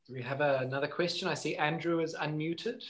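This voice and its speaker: A man's voice: on the high side, fairly even intonation, fairly quick, slight background noise. A young man hosting a video call interview, reading out viewers' questions. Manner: reads out audience questions. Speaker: host.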